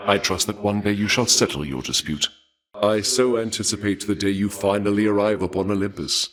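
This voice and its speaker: Video game war god, male actor: low-voiced, suave and bloodthirsty, formal archaic delivery, deliberate and courteously menacing. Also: lightly acted. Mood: approving, refined. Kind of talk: theatrical video game character dialogue.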